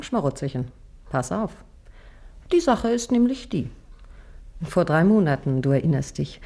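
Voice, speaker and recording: Teasing, determined courtesan in radio drama, raspy dark timbre, chatty seduction. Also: female voice, lowish voice. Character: flirtatious, persistent Venetian courtesan seeking marriage. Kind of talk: theatrical radio play acting.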